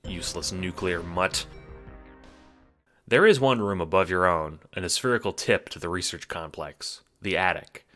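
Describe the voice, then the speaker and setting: A man's voice: deep voice, moderate pace, pushed and bright, soft background music. A man narrating an audio drama in the second person with a wry, theatrical, sardonic manner.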